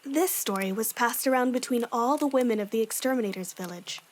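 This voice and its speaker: Woman as a composed, high-voiced young demon slayer. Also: a little fast, a little hiss or hum, natural voice. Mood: ominous storytelling. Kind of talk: fan audio drama acting, campfire storytelling.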